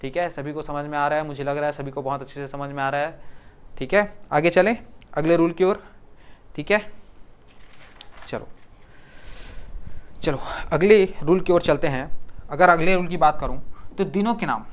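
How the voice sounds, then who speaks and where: This is a man teaching exam-prep grammar in Hindi with a Chhattisgarh accent. His voice is clear and resonant and a touch higher than most men's. He repeats each word over and over and keeps asking whether everyone follows. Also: normal speed, long pauses. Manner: repeats words and asks the class to confirm.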